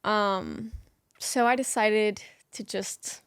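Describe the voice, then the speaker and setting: A young woman from the Netherlands chatting as a guest in an interview, relaxed and talkative with frequent ums. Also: medium pitch, extremely slow, smooth, dry recording, studio quality. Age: young adult. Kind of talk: casual interview chat.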